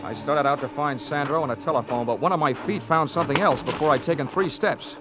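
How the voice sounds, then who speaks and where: An American actor playing a wry, hardboiled insurance investigator in an old radio drama, dry and flippant. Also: male voice, on the high side, fast, natural voice. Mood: wry narrating. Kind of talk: 1940s-50s radio detective acting, first-person narration. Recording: dull old radio recording with music behind.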